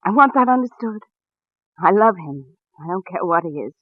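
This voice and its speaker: An actress playing a respectable small-town wife in a 1940s radio drama, speaking in a halting, anguished yet proper way. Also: female voice, medium pitch, expressive, no echo, lightly acted. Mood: pleading. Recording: muffled vintage radio recording, phone-like band.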